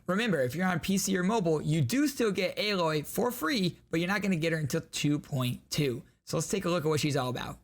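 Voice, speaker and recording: A man hosting a video game reaction, explaining details with enthusiasm. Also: a little high. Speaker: reaction video host, gaming streamer.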